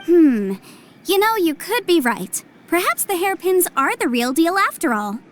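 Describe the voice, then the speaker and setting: An actress playing a confident young legal adviser in a video game, speaking in crisp, formal, lawyerly phrases. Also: female voice, very high-pitched, big pitch swings, measured pace, a little hiss or hum, heavily acted. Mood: coy, confident. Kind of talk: video game cutscene voice acting.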